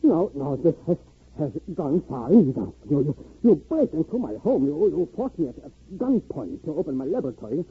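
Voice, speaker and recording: A man's voice: on the high side, very animated, a little fast, husky, heavily acted. A man playing a secretive, proud professor in a 1950s radio drama, fussy and hesitant, often stammering. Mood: indignant, alarmed. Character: flustered, secretive professor-inventor, proud of his creation. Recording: muffled vintage 1950s radio recording.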